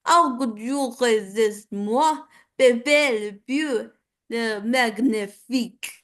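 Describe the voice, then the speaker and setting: Woman doing a romantic french cartoon skunk, kinda high, slow and careful, bit theatrical. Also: fairly bright tone. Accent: imitated French.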